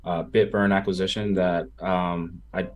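A man being interviewed as a startup founder over a video call, explaining things casually and haltingly with many pauses. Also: sits low, level tone, muffled recording. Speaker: interview guest, crypto project founder. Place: home room with gaming chair, on video call. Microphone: desktop microphone on a video call.

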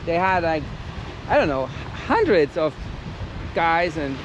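A man hosts casual street interviews in a high voice. He is outgoing and swears freely. The recording is outdoors with plainly audible background noise. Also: very animated, slow pace, highs cut off. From Germany. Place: outdoors by a beach.

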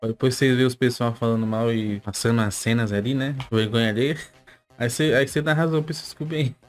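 A man's voice: medium pitch, fairly even intonation, brisk, soft background music. A young man with a Brazilian accent giving casual, slangy reaction commentary with chuckling asides, close to a desk mic. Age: young adult. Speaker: reaction video host. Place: small indoor gaming setup. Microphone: close desk mic with headphones.

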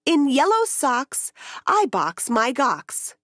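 A woman narrating a rhyming children's picture book in an expressive, deliberate storytelling way. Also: high-pitched, no echo.

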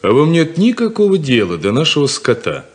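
Curt, skeptical farmer, lively inflection. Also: male voice, on the high side, brisk. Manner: curt, dry, sarcastic. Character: stern, skeptical elder son running the farm. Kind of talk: 1970s Soviet radio play acting.

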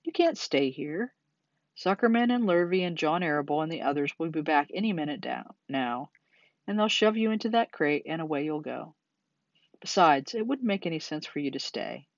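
A weary female spider from a children's story, voiced by a narrator, composed and gently firm. She speaks in a low-key manner. The recording is very dry and a touch dull. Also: animated, normal speed, no room sound, close to an everyday voice. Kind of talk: children's storybook character reading.

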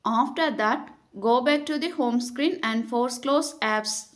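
High-voiced woman narrating a tutorial. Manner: instructional, measured, reading from a script. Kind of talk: scripted step-by-step tutorial narration.

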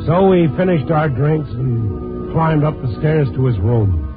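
A man's voice: fairly high pitch, expressive, measured pace, over loud music. A man playing a world-weary, probing newspaper reporter in a 1950s radio noir drama, on a tinny old recording. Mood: brooding. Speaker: narrator and lead actor. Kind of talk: 1950s radio noir acting, first-person narration.

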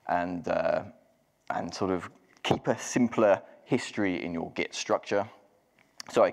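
A man with a fairly low voice walks an audience through a technical talk on stage. He speaks casually, with frequent ums and self-corrections. His voice carries the long reverb of a large hall. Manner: casual, many ums and restarts. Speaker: conference speaker. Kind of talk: live technical walkthrough.

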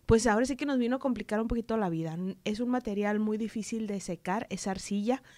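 Woman, 30s, northern Mexican accent, public official; steady technical explainer, slightly high voice, dry close studio sound. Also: no room sound. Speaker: city infrastructure official. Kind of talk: radio studio interview. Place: small radio studio. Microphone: studio desk mic.

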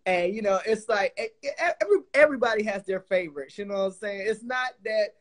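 A man's voice: high voice, fast talker, a bit bright. A young man hosting a casual interview over a video call, effusive and full of fillers and restarts. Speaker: podcast host. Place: small room indoors.

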